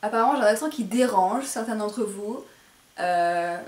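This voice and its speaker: A woman's voice: medium pitch, normal speed, darkish tone. A woman presenting a casual, chatty hair tutorial to camera, speaking a little carefully and self-consciously. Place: indoor room at home. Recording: roomy echo, faint background noise.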